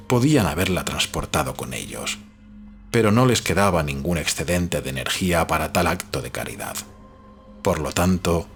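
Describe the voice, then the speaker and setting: A man reading a novel aloud as a narrator, in a measured, solemn storytelling manner. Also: low-pitched. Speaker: audiobook narrator. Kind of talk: scripted literary narration of a novel.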